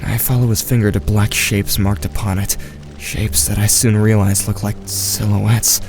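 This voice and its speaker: A man playing a watchful, tentative teenage boy, giving measured first-person narration in an audio drama. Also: on the low side, flat delivery, music in the background, lightly acted. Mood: measured, tense.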